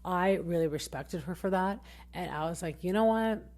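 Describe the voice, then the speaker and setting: A woman in her thirties hosting a casual podcast recap, very expressive, her voice swinging high and low as she rambles with fillers and restarts. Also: moderate pace. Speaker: podcast host.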